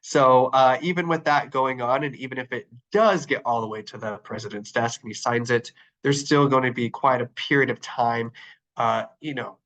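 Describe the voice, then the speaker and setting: A man's voice: medium pitch, fairly bright tone, poor audio. A man with a nasal, flu-congested voice leading an online training session, talking with plenty of ums and uhs as he clicks through his screen. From Arizona. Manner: upbeat, filler-heavy, talking while clicking through screens.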